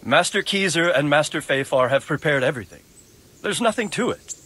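A man's voice: fairly high pitch, expressive, fairly quick, pushed and bright, rough recording. A man playing a dignified older medieval lord giving orders in a dramatic game cutscene. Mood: encouraging.

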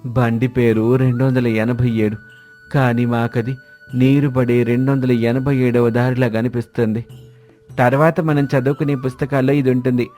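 A man's voice: mid-range voice, little pitch movement, moderate pace, mellow, soft background music. A man reading scripted dialogue for village characters in a moral story. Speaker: story voice actor.

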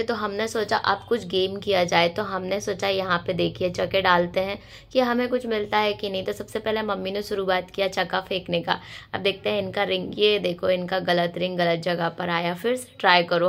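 A young woman, hoarse from a cold, chatting to viewers in a casual vlog voice-over. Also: medium pitch, steady tone, a little fast, a bit bright. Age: young adult. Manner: chatty, addressing viewers directly. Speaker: vlogger and dance choreographer.

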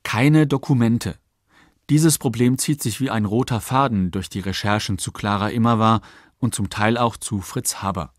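Measured male narrator, dry studio sound. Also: on the low side, dry recording. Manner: factual, measured. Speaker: narrator, documentary author. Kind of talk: scripted radio feature narration. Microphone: close studio microphone.

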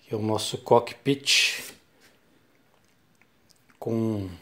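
A man's voice: on the low side, fairly even intonation, extremely slow, bright, forceful tone. A middle-aged man with a Brazilian Portuguese accent hosts his channel, showing a project in a dim home workshop. He talks casually and rambles, with many restarts and filler tags, filming on a handheld phone. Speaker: channel host. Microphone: handheld phone camera.

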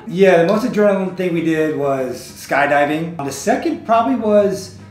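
A man in his thirties, co-host of a couple's vlog, chatting in a jokey, animated way and laughing often. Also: a little high, measured pace, echoey room, slight background noise. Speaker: co-host, real estate agent. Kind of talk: casual chat. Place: office with desk and orchids, indoors.

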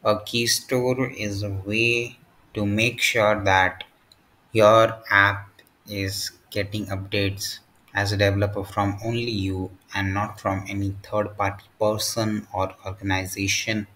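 A man narrating a step-by-step software tutorial in short, halting phrases. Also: on the low side, level tone, slight background noise, poor audio. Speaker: tutorial presenter.

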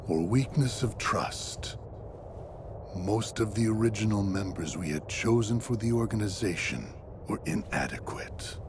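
A grave, composed video game villain holds forth in a deep male voice. He is formal, coldly philosophical and very unhurried. Clear ambient noise sits behind his words. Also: full character voice. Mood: cold, disdainful. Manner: formal, measured, solemn.

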